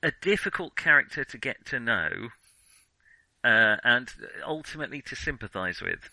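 A man's voice: medium pitch, animated, normal speed, pushed and bright, no room sound, poor audio. A man co-hosting a casual film discussion, hesitant and often correcting himself as he thinks aloud. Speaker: film discussion podcast co-host.

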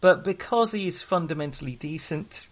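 A man's voice: on the high side, moderate pace. A man co-hosting a casual film discussion, chatty, enthusiastic and full of opinions. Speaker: film podcast co-host.

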